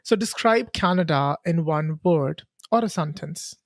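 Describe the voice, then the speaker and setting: A podcast host who calmly asks short interview questions and gives brief backchannels. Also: medium pitch, unhurried, no echo, clean studio sound. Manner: calm, brief prompts and soft backchannels.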